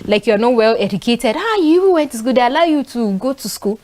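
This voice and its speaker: This young woman speaks with a Nigerian accent as a guest on a talk show panel. Her voice sits a little high, and she tells her stories with animation, often stopping mid-thought with fillers. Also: quick pace, very noisy. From northern Nigeria. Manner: animated storytelling with frequent fillers. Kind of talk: casual panel discussion.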